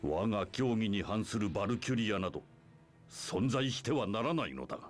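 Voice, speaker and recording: A man's voice: fairly low pitch, lively intonation, very fast. A man playing an imperious, scheming church leader in a video game, speaking in pompous, archaic formal phrases. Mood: grandiose, scornful. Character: imperious, scheming male church leader preaching his doctrine. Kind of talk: Japanese video game voice acting.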